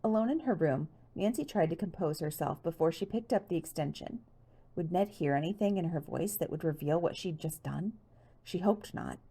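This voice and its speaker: A woman narrates a teen mystery audiobook in a fairly low, mellow voice. Her reading is lively, with plenty of rise and fall. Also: normal speed, husky. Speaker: audiobook reader. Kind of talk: scripted storybook narration, teen mystery novel.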